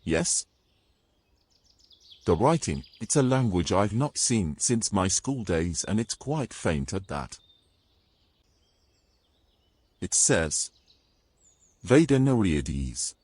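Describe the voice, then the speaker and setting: A man's voice: fairly low pitch, big pitch swings, slow, long pauses, darkish tone, slightly rough, dry recording, full character voice. A man playing a grumbling, exasperated adventurer in a fantasy audio drama, haughty and formal in his phrasing. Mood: explaining. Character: grumbling, exasperated young adventurer carrying a mermaid.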